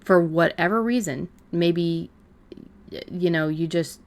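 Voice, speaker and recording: A woman's voice: lowish voice, unhurried. A woman co-hosting a podcast, talking in an informal, loosely rambling way. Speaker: podcast co-host and fiction writer. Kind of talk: casual podcast chat.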